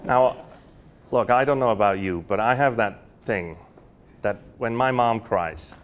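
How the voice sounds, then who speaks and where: A man's voice: lowish voice, measured pace, highs cut off. A man, telling personal stories at a university talk, chatty and full of fillers and restarts. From Switzerland. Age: 40s. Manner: warm anecdotal storytelling with frequent fillers and restarts. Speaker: guest speaker. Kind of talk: storytelling lecture. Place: university lecture hall.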